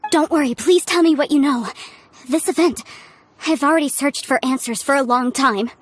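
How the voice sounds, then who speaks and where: A woman playing a polite, high-voiced young-sounding scholar. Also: moderate pace, lightly acted. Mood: earnest, eager. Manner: courteous, careful, formal. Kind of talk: scripted video game dialogue. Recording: music under the voice.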